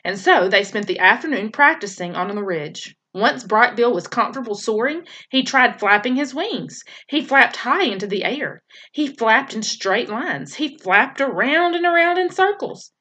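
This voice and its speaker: Teacher reading chapter book aloud, woman, animated storytelling voice, a few stumbles. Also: a little high, normal speed. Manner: expressive storytelling with occasional slips and restarts. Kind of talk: reading a children's novel aloud.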